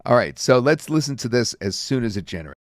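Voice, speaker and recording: A man reviewing and demonstrating software in a casual, rambling way with many fillers. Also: mid-range voice, big pitch swings, quick pace, no echo, clean studio sound. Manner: casual, filler-heavy talk. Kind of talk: screen-recorded software demo.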